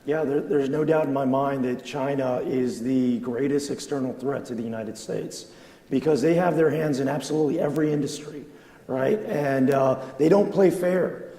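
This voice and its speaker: A man's voice: medium pitch, normal speed, warm tone. A man, a congressman, answering firmly on a panel with repeated emphasis. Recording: echoey hall with long reverb.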